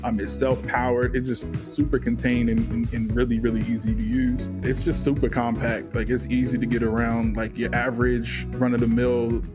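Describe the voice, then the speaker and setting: An American man speaks off the cuff in a casual product testimonial. His delivery stays fairly flat in pitch, at a middle register. Light music sits behind his voice. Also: moderate pace, few pauses. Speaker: audio consultant and product reviewer.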